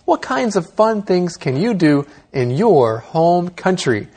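A man who grew up in Taiwan, hosting an English lesson and explaining things slowly and clearly for learners. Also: high-pitched, big pitch swings, dark tone. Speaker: host.

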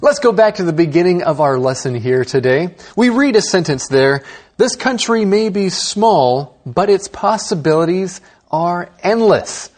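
Brief: male English-lesson host, grew up in Taiwan, slow and clear for learners. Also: high-pitched, expressive, a little mellow.

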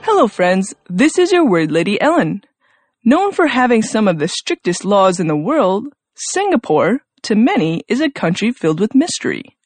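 Woman reading vocab words, really lively up and down voice, dry close sound. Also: medium pitch, moderate pace, no room sound, faint music behind. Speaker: vocabulary teacher. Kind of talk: scripted lesson reading.

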